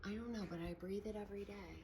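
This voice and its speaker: A woman in her thirties giving a casual handheld video tour at home, hushed and often whispering, exasperated and sweary. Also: mid-range voice, steady tone, very fast. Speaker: vlogger. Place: indoors at home, in a kitchen. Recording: noisy handheld clip.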